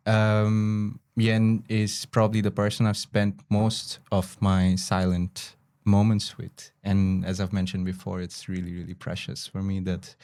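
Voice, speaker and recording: Male podcast guest, low pitch, measured delivery with thoughtful hesitations, conversational and reflective. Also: darkish tone. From Bangladesh. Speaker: podcast guest, photographer, educator, researcher.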